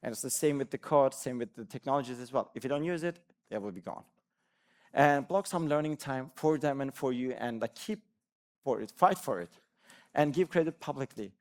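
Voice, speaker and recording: A man's voice: medium pitch, expressive, normal speed, darkish tone. A man in his thirties from Turkey, Turkish being his first language, giving an earnest, encouraging conference talk to developers with frequent restarts and "like" fillers. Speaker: conference speaker, developer advocate.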